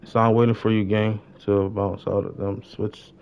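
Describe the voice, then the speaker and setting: A man's voice: lowish voice, level tone, moderate pace, vocal fry, clean tone. A young man chatting casually on a gaming livestream, using heavy slang and often repeating his phrases. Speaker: live-streamer playing an online role-play game. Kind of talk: casual gaming stream chat. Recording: dull lo-fi streaming mic.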